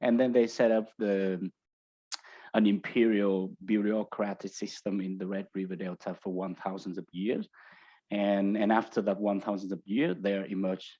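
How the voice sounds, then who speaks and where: Low-voiced Vietnamese man, halting speech. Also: level tone, warm tone, slightly rough. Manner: hesitant, halting phrasing. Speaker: guest researcher. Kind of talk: explanatory interview answers. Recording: dull lo-fi audio.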